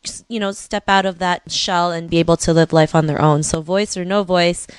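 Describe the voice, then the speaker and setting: A woman's voice: fairly low pitch, fairly even intonation, normal speed, clean tone, no room sound. A woman hosting a podcast interview, her voice worn because she has lost it, speaking casually. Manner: casual, with many "you know" fillers. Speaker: podcast host.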